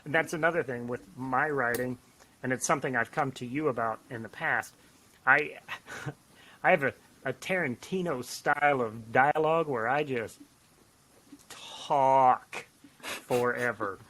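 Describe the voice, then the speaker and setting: A man talking casually as a writer on a podcast, rambling and self-deprecating in an animated way. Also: mid-range voice, unhurried. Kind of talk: casual podcast chat about writing. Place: home office, on a remote call.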